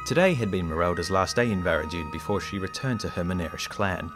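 A polite, earnest male diarist played by a man tells an adventure in an audio drama. His voice sits fairly low and his phrasing is formal and storybook-like. Faint music plays along. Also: expressive, fast talker. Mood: earnest, reflective. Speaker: narrator and character. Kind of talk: audio drama diary narration.

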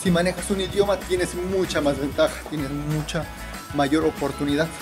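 Male travel vlogger, twenties, high-pitched, instructive and informal, recorded on city streets over music. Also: steady tone, moderate pace. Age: 20s. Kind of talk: informal advice vlog to camera. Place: outdoors in city square and narrow streets. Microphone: camera at arm's length.

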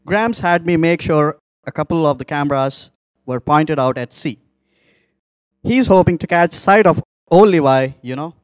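A man plays a bookish young animal puppet in a children's show. The voice is fairly high for a male, recorded dry and close, with an inquisitive way of talking. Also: normal speed, dry recording, natural voice. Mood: explaining.

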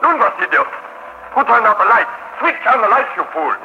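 A harsh German U-boat captain in an old radio play, domineering and angry, with a German accent. The recording is dull and lo-fi. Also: male voice, extremely high pitch, big pitch swings, brisk, full character voice. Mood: furious. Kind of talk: 1940s radio drama acting.

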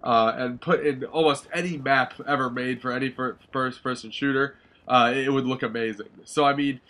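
A man's voice: medium pitch, steady tone, normal speed, fairly bright tone. A young man with a blocked, nasal voice from a sinus infection, rambling through casual gaming commentary with many ums, swearing and self-corrections. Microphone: voice-over over gameplay footage.

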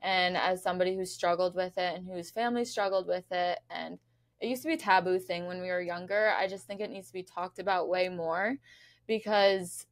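A young woman from New York in casual interview chat as a podcast guest, speaking close to a studio microphone. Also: lowish voice. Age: young adult. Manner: chatty, peppers talk with "like". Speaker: podcast guest, pageant contestant. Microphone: close studio microphone on boom arm.

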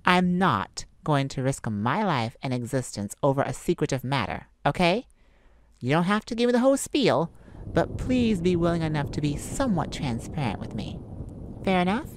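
A woman voicing a feisty, sardonic male vampire with a softer side, in a theatrical ASMR roleplay addressed to the listener. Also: deep voice, normal speed, poor audio. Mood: firm, impatient. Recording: steady rain ambience behind the voice. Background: rain falling.

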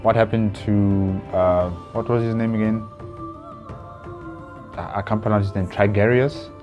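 A man's voice: lowish voice, fairly even intonation, a little slow, lo-fi sound, music in the background. A young adult man giving casual commentary as a reaction video host, musing and hesitant, on a lapel mic in a small room. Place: small plain room indoors.

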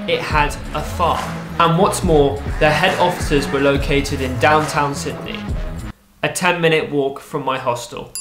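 A young man narrating a personal story in a vlog, lively and wry, with playful asides. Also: a little high, roomy sound. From England. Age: young adult. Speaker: vlogger. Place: small room with a desk lamp, indoors.

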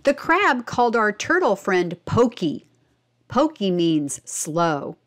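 A woman's voice: medium pitch, big pitch swings, takes it slowly. A woman reading a children's storybook and presenting it instructively, expressively asking young listeners questions. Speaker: read-aloud narrator and presenter.

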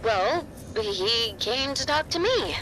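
A woman's voice: on the high side, very animated, slow pace, crowd noise, low-quality recording. A woman playing an earnest, self-doubting young heroine in a video game, with a hesitant manner. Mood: sheepish. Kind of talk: scripted video game voice acting. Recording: very loud game ambience behind voice. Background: loud game ambience.

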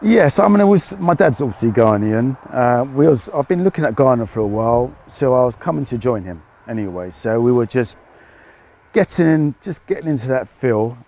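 A middle-aged British man, a fish farmer, explaining his work outdoors in a relaxed, hesitant way. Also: medium pitch, moderate pace. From United Kingdom. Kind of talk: casual interview answers.

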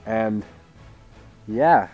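A man's voice: on the low side, extremely slow, narrow, tinny audio, soft background music. A teenage boy from Idaho, sick with a sore throat, giving rambling casual commentary at home while he reads out game settings. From Idaho, USA. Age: teens. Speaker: gaming YouTuber. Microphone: headset mic with tangled cords.

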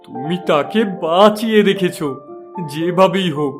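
A man's voice: high voice, a little slow, music in the background. A man playing a pious, wronged holy hermit in a fairy-tale cartoon, solemn, sorrowful and earnest. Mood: grateful.

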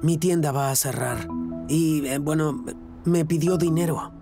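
A man's voice: a little high, a little slow, vocal fry. An actor with a Latin American Spanish accent dubbing a lovestruck, earnest middle-aged man in a reality show. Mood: uneasy, earnest. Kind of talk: dubbed reality show talk and confessional. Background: background music.

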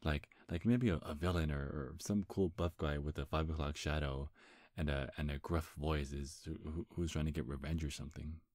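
Male actor as sly demon charmer for ASMR roleplay: extremely low voice, close dry mic, flirty and teasing. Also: steady tone, normal speed, darkish tone, no room sound. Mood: flirtatious, coy. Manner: flirtatious, sly, hesitant stammers. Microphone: close, dry microphone.

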